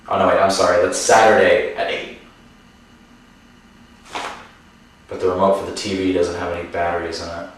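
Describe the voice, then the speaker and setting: A man's voice: low voice, lightly acted. A man playing a grumpy, weary guy in a naturalistic comedy sketch. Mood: preoccupied, casual. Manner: exasperated, flat pleading. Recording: very echoey room, distant mic.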